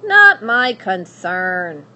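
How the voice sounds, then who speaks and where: A woman's voice: mid-range voice, very animated, bright, forceful tone, highs cut off, a little hiss or hum, exaggerated voice. A woman voicing a cold, menacing storybook witch, stern and disdainful, drawing out her words. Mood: scornful. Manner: slow, drawn-out, disdainful.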